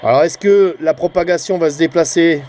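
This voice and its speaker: A man's voice: on the high side, brisk, darkish tone, a little hiss or hum. A man giving a casual, rambling hobbyist vlog commentary, hesitant and often trailing off with little tag words. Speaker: amateur CB radio hobbyist and channel host.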